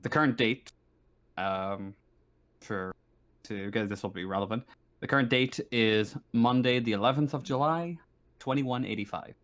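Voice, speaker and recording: A man running a tabletop roleplaying game as game master, narrating haltingly as he searches for his words. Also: medium pitch, fairly bright tone.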